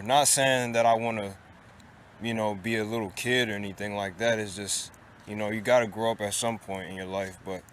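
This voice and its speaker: A man's voice: fairly low pitch, slight background noise. A man in his late twenties talking casually to his viewers in a rambling way, with many restarts and fillers. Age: 20s. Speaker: vlogger. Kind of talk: casual monologue to camera.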